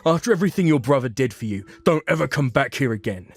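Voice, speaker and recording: A man's voice: mid-range voice, animated, fast, soft background music, pitched above the performer's own voice, lightly acted. A man voicing a devoted, protective elderly grandparent in a manga story, earnest and firm. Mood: furious.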